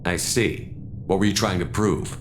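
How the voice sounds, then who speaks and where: Low-voiced, steadfast military commander. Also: male voice, unhurried, noisy background. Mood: terse, guarded. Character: steadfast, blunt male military commander and space hero. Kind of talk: dramatic video game dialogue.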